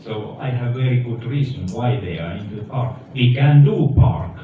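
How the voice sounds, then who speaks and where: A man from Finland with a Finnish accent, speaking halting, plain-spoken English as a company head, pausing so an interpreter can follow him. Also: mid-range voice. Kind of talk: speech with consecutive interpreting. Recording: echoey hall, far from mic, dull sound, noisy.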